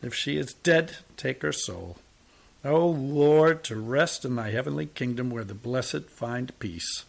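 A man voicing a pious, self-pitying male tramp with a soft, drawling, sickly sweet voice. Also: medium pitch, measured pace, vocal fry.

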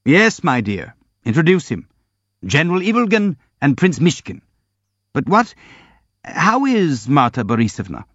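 Blustering elderly general, grand and self-pitying, raised pitch, dry and muffled recording; male reader voicing. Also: wildly swooping pitch, measured pace, long pauses, fairly bright tone, dry recording, exaggerated voice. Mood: pompous. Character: elderly blustering boastful army general, pompous.

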